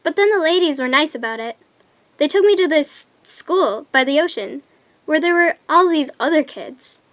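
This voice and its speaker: A woman's voice: high voice, expressive, moderate pace, a bit bright, no echo. A woman playing a sweet, innocent little girl in an audio drama. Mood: innocent, recounting.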